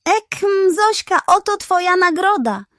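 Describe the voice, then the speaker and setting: A woman voicing a sly, greedy male pug dog in a children's storybook, a fast-talking schemer with a wheedling, theatrical manner. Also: very high voice, animated, a bit bright, dry recording, clean studio sound, pitched above the performer's own voice, heavily acted. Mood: nervous, hurried.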